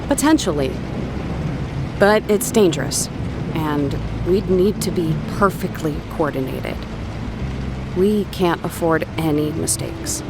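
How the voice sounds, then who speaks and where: A woman's voice: low voice, expressive, takes it slowly, very noisy, exaggerated voice. An actress playing a guarded, clever woman who tinkers with tech on a prison island, in an audio drama. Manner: guarded, explanatory. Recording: music under the voice.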